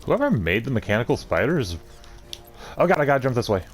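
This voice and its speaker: A man giving casual commentary while he plays a video game. His pitch is animated and varied. He mutters short reactions as a host talking along with his play. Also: fast talker, faint music behind.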